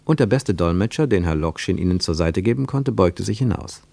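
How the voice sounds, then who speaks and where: A man narrating a radio play as a literary travel account, measured and descriptive. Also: low voice, animated, gravelly, darkish tone, no echo, heavily acted. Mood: observant.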